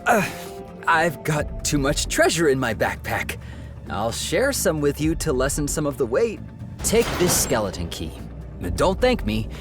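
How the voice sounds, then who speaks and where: Boastful, swooping thief mouse. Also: male voice, on the high side, huge pitch swings, a little slow, music in the background. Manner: grandiose, self-important. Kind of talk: video game cutscene acting.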